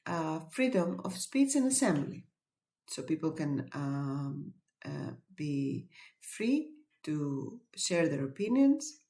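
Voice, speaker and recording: Woman explaining things slowly, kinda low voice, roomy echo. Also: darkish tone. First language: Greek. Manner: measured and explanatory, pauses with fillers. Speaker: webinar presenter. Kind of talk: educational webinar talk. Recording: echoey room.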